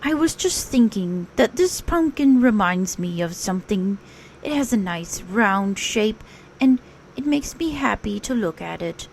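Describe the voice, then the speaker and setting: A woman voicing a gentle male storybook bear, speaking slowly in a drawn-out, musing way. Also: mid-range voice, expressive, gravelly, slight background noise, rough recording. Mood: dreamy, pleased. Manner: slow, drawn-out, musing delivery. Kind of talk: children's storybook character voice.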